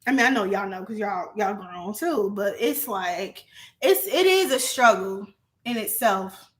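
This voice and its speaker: A young adult woman vlogging in a casual, profane monologue to her viewers, animated and often laughing. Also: medium pitch, a little slow.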